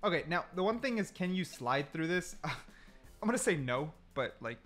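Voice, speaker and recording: A man doing excited gameplay commentary, thinking aloud and often repeating himself. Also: fairly high pitch, moderate pace, soft background music. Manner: repetitive, exclamatory, thinking aloud. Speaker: gaming content creator testing game mechanics.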